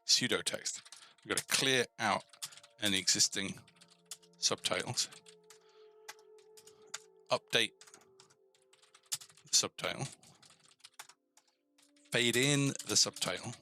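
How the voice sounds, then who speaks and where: A man with a fairly low, bright and forward voice talks through code on a live tutorial stream. He is informal and tentative, thinking out loud and pausing mid-thought as he works. Music plays clearly behind him. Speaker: host of a coding tutorial stream. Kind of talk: casual live coding walkthrough, thinking aloud.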